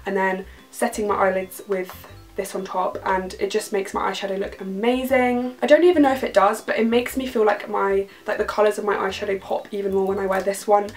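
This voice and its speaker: A young British woman reviewing beauty favourites to camera in her bedroom, gushing and a little croaky from being ill. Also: medium pitch. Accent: British English. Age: young adult. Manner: gushing, enthusiastic, repeats words for emphasis. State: slightly croaky from illness. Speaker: beauty vlogger. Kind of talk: casual product review to camera. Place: bedroom, indoors.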